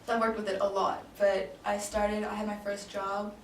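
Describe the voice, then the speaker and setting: A woman's voice: medium pitch, completely flat delivery, moderate pace. Woman, late twenties, from Denmark, hesitant, lots of ums, faint background noise, echoey. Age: 20s. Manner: hesitant, many ums and restarts. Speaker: job applicant in a mock interview. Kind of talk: casual improvised role-play interview. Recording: echoey room, mic at a distance, faint noise.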